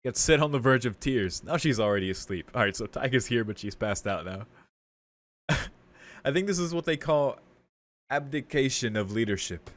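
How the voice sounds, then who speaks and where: A man's voice: medium pitch, expressive, moderate pace, fairly bright tone. A man hosting a visual novel playthrough, casually commenting and reading dialogue aloud. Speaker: visual novel let's play host. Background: game music.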